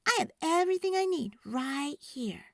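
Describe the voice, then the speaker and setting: A woman plays a devoted, stubborn little girl from a children's storybook. The voice sits very high and swings expressively, with small hesitations and a heartfelt earnestness. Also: expressive, normal speed, vocal fry, no echo, studio quality. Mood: shy, gentle. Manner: hesitant ums and huhs, heartfelt.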